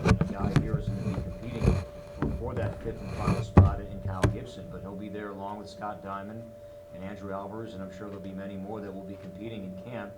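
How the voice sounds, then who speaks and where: A man who is a radio play-by-play announcer, answering press questions in a fluent, measured way. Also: fairly low pitch. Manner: fluent, measured broadcaster delivery. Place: church hall press event. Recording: loud electrical hum.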